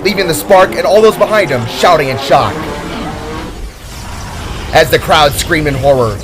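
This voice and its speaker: A man reading scripted dramatic narration as the narrator of a fantasy story. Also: fairly high pitch.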